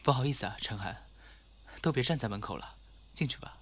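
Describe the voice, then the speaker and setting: A man's voice: mid-range voice, expressive, brisk, a little hiss or hum, lightly acted. A man playing a mild, reserved young professional in an audio drama, speaking in a polite, understated, placating way. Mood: apologetic. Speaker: voice actor in a fan audio drama. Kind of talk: Chinese audio drama acting.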